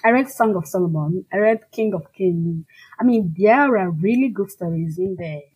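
Nigerian lady, igbo first language, bit husky, talking emphatically like a writer in an interview. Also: medium pitch, lively intonation, normal speed, a little mellow, poor audio. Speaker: fiction writer being interviewed. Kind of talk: conversational, reflective interview answers.

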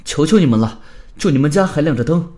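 A man playing a polite, bookish young man in an audio story, frantic for help. His pleas rush out fast and fairly high, swinging in pitch. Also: lively intonation, warm tone, lightly acted. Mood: frantic pleading. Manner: hurried, pleading.

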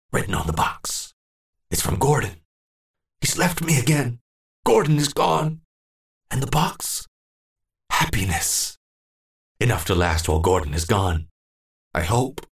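A man's voice: sits low, animated, a little slow, long pauses, fairly bright tone. A man voicing a frightened, confused old man in a horror audiobook, muttering and repeating himself in first person. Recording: roomy, distant echo.

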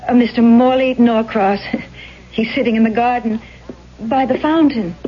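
Anxious young ingenue, high husky voice, hesitant, vintage radio drama, dull recording. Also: female voice, normal speed. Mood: bewildered. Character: anxious young aspiring actress, unsettled and insistent. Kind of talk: 1970s radio drama acting.

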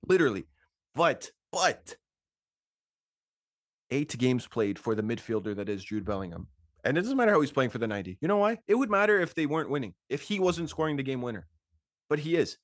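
A man co-hosting a casual football podcast, talking fast and animated and laughing often. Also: mid-range voice, dry recording, studio quality. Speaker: podcast co-host. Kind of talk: casual sports chat.

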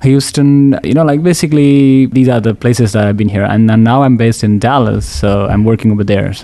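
A man from Nepal with a gravelly, darkish voice talks as an interview guest. He keeps checking in with "right?" and fills gaps with "like". The sound is close and dry. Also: mid-range voice, fairly quick, dark tone, no room sound. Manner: leans on "right?" and "like". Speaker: guest developer. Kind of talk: casual interview chat. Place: conference venue.

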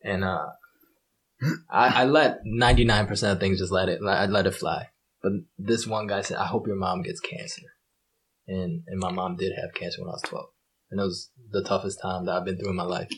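A man in his twenties, a professional soccer player, chatting casually as a guest, relaxed and swearing freely. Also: deep voice, brisk, slight background noise. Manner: relaxed, swearing, animated when retelling. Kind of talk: casual chat.

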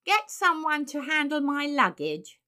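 A woman voicing an indignant, haughty old man in a boy's body, with a shrill treble, in an audiobook. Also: sing-song, normal speed, bright, forceful tone, heavily acted. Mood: brisk. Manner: haughty, commanding. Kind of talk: audiobook character acting.

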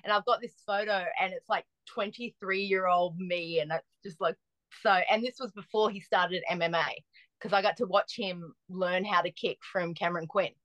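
A woman's voice: fairly low pitch, normal speed, dry recording, poor audio. Chatty woman in her forties. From Australia. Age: 40s. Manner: chatty, lively storytelling with restarts. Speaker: guest. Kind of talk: casual chat.

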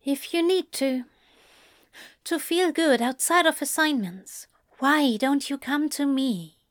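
A woman voicing an anxious, earnest male angel with a hesitant, halting delivery in an audiobook-style story. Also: high voice, expressive, voice pushed up. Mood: quiet, nervous.

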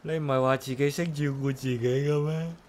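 A man's voice: medium pitch, steady tone, brisk, a little mellow, lightly acted. An actor playing an easygoing man who lives alone, speaking in a casual, wry manner in a radio drama. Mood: lazy, grumbling. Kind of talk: Cantonese radio drama acting and narration.

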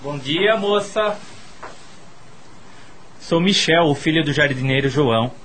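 A man's voice: on the high side, expressive, takes it slowly, a bit bright, a little hiss or hum, poor audio. A man playing a friendly, polite young gardener's son in a Brazilian radio drama.

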